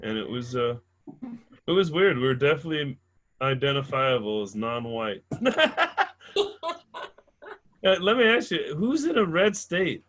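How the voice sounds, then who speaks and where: A man's voice: medium pitch, very animated, measured pace, pauses often, fairly bright tone. Loose, chatty male comedy host. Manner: loose, chatty, swears casually. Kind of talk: introducing comedians on a show.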